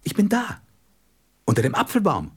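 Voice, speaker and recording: A wise, courteous fox from a storybook radio play. The voice is rough and husky, and the pitch swings widely as it speaks with wistful feeling. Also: male voice, wildly swooping pitch, moderate pace, heavily acted. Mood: shy, courteous.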